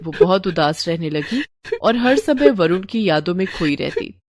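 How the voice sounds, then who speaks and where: A woman's voice: on the low side, big pitch swings, a little slow, noisy background. A woman narrating a scripted moral story as the storyteller.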